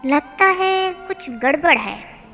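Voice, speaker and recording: महिला की आवाज़ में धैर्यवान, ऊँचे सुर वाले गुरुजी. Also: female voice, high voice, expressive, slow, sharp tone, lo-fi sound, exaggerated voice. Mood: playfully insistent. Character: patient, gentle old male guru teaching a lesson. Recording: long hall reverb, music bed.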